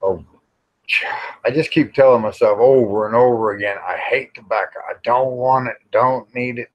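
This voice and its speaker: A man's voice: mid-range voice, normal speed. An American man, middle-aged or older, hosting a casual, rambling livestream chat in a folksy, plain-spoken way with some mild cussing. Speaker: livestream host. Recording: dull, lo-fi livestream audio.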